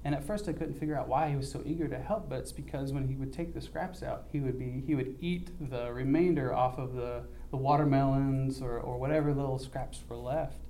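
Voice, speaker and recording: Young man, earnest and halting, mellow dark tone, light hiss behind him; plain church-talk delivery. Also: mid-range voice, steady tone. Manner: earnest, halting with many ums. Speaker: mission trip speaker. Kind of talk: church mission report, casual.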